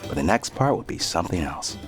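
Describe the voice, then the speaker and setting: An actor playing an excitable young American man in an audio drama, slangy and enthusiastic in narration and dialogue. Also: low-pitched, brisk, over music. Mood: cocky storytelling.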